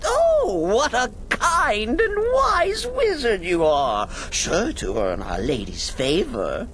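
A man's voice: high-pitched, huge pitch swings, takes it slowly, fairly bright tone, a little hiss or hum, full character voice. A man voicing a manic, fawning, fanatically devout jester in a theatrical, singsong game performance. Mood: gleeful, fawning.

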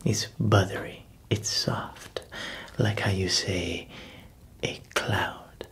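A man in an ASMR roleplay voicing a proud male baker who whispers slowly, chuckles softly and fumbles for words as if foreign. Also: low-pitched, fairly even intonation, slightly rough, heavily acted. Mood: playful. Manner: whispered, slow, with soft chuckles.

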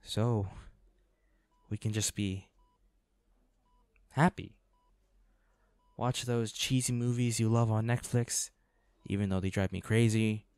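A man's voice: fairly low pitch, fairly even intonation, takes it slowly, long pauses, a little mellow, clean tone, no room sound. A man playing a protective, devoted hunter boyfriend in a dramatic roleplay audio, speaking earnestly and intensely. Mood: tender, weary.

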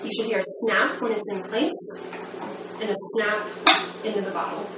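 A woman in her thirties giving a measured, step-by-step training demonstration in the manner of a methodical instructor. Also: medium pitch, fairly even intonation. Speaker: medical device trainer. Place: small plain indoor room. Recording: echoey room, distant mic, background noise.

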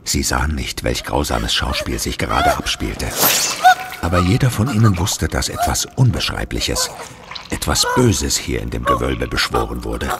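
Grave horror storyteller, exceptionally low voice, solemn suspenseful scripted narration. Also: male voice, sing-song, moderate pace, very noisy, full character voice. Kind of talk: scripted narration of a German horror audio drama.

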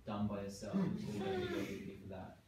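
Brief: man voicing a thoughtful late-twenties guy, low voice, halting, echoey distant sound. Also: huge pitch swings. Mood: reflective. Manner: reflective, halting, many fillers. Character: reflective 28-year-old man, former slacker. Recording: echoey room, distant camera mic, background noise.

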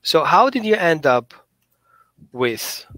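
A man's voice: on the high side, very animated, slow pace, fairly bright tone, a little hiss or hum. A middle-aged man from Greece hosting a casual video-call chat about dogs, explaining in a friendly way with small hesitations. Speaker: host, holistic dog behaviorist. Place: small room indoors. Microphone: headset mic on a video call.

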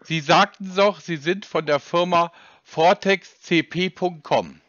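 A man's voice: sits high, takes it slowly, a bit bright, no room sound. A man on a phone call, talking in an insistent, pedantic, complaining way. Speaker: scambaiter on a prank call. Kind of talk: phone conversation. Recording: dry, slightly dull lo-fi sound.

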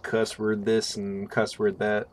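A man's voice: fairly low pitch, completely flat delivery, a little hiss or hum. A man streaming a co-op horror game, chatting casually and laughing with friends over voice chat. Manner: laid-back, slow-talking, laughs often.

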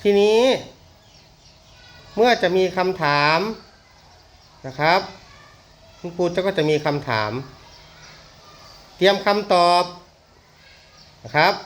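A man's voice: high voice, slow pace, pauses often, a bit bright. A man speaking as a teacher to young pupils, instructing them and prompting them with questions. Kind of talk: addressing young pupils after a storytelling. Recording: faint background noise.

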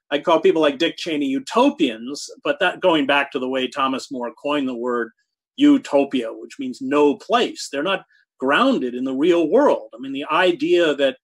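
A man in his sixties answering interview questions over a video call from a home study, speaking thoughtfully with halting restarts and hard emphasis on key words. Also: a little high. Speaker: guest, journalist. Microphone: video call webcam.

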